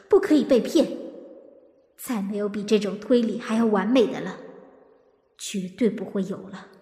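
A woman playing a vengeful narrator who coolly plots murder in a radio drama, speaking in a brooding, deliberate way. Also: medium pitch, a little mellow, roomy sound, long reverb tail, natural voice. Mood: troubled, resolute. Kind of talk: broadcast drama acting with inner monologue.

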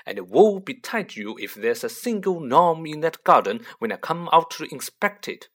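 A narrator voicing a scolding, bustling middle-aged mother witch in an audiobook, fussy but fond, with sharp exclamations. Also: mid-range voice, big pitch swings, normal speed, voice pushed up.

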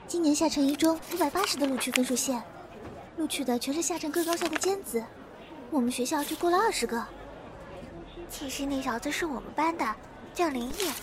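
A woman playing a gossipy, excitable teenage student in an audio drama, chattering away. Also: high voice. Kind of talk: amateur Chinese audio drama acting.

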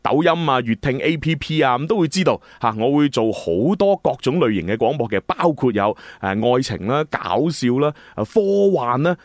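Lively man hosting radio, dry close sound. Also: mid-range voice, normal speed, hardly any pauses, dry recording. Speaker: radio host and drama producer. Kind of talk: casual chatty live radio presenting.

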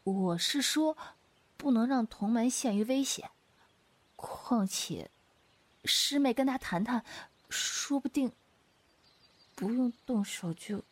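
A woman's voice: a little high, animated, slow, long pauses, dry recording. A woman playing a playful, earnest young swordswoman in a Chinese wuxia radio drama, with a hesitant, coaxing, theatrical delivery. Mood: pleading, hesitant.

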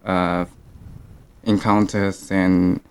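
A man in casual conversation, explaining something in a measured, matter-of-fact way. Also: sits low, little pitch movement, a little mellow, clear, resonant tone.

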